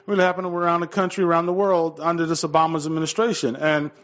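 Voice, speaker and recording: Male talk radio host, higher register, hesitant and agreeable delivery, somewhat lo-fi sound. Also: flat delivery, fast talker, fairly bright tone. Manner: hesitant, repetitive phrasing, often echoing and agreeing. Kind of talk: political talk radio conversation.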